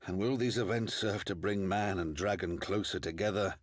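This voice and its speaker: A man's voice: low-pitched, steady tone, normal speed, dry recording, clean studio sound. A man playing a grave, brooding nobleman in a fantasy game, formal and pointedly rhetorical. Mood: brooding, sceptical. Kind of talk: fantasy game cutscene acting.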